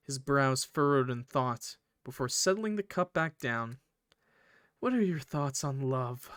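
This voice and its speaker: A man doing a visual novel let's play, reading the text aloud and reacting in an informal, expressive way. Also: on the high side, no room sound, clean studio sound. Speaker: gaming video creator.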